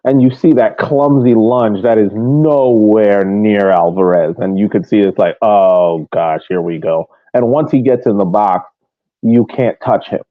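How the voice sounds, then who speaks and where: Through a narrow, phone-like connection with little room sound, a man with a fairly low voice talks through soccer plays. He sounds conversational, like a panelist on a fan podcast. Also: unhurried, no echo. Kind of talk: casual match breakdown.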